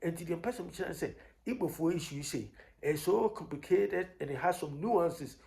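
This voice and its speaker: A man's voice: on the high side, expressive, gravelly, mellow. A man with a Ghanaian accent giving an unscripted, combative opinion rant, repeating himself and often restarting his phrases. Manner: combative, repetitive, halting with restarts. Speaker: online commentator.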